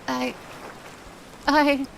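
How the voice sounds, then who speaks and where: A woman's voice: high-pitched, little pitch movement, very slow, vocal fry, slight background noise, full character voice, poor audio. A woman playing a proud, easily flustered and annoyed young mermaid princess in a roleplay audio drama, pouty and prone to stammering.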